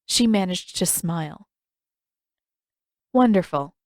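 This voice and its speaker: A woman narrates a story in a scripted audiobook style. Her pitch is mid-range for a woman, and the recording is dry with very little room sound. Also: moderate pace, a little mellow, no room sound. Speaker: fan fiction narrator.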